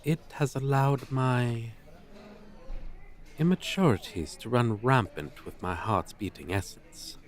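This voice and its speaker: A man's voice: mid-range voice, slow pace, natural voice. A man playing a pompous, flirtatious dragon teacher in an ASMR roleplay, speaking in florid, formal, condescending phrases. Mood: lofty, enamored. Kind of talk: ASMR roleplay, slow theatrical delivery.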